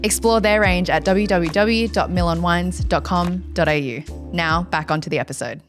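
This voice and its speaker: A woman giving a smooth, measured scripted ad read. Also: lowish voice. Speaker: podcast co-host reading an ad. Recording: ad read over music.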